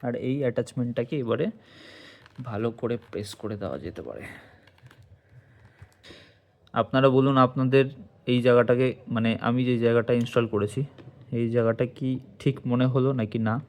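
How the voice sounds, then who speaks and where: A man's voice: mid-range voice, fast talker. A man giving a casual hands-on tutorial, explaining step by step and speaking directly to viewers. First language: Bengali. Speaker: motovlogger and product reviewer.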